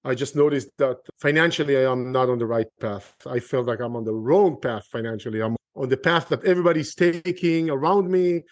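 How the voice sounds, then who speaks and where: A man's voice: mid-range voice, a little fast, hardly any pauses, dry recording. Israeli-accented man, dry close mic. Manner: earnest, restarts sentences often. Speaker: guest, real estate investor. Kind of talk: conversational podcast interview.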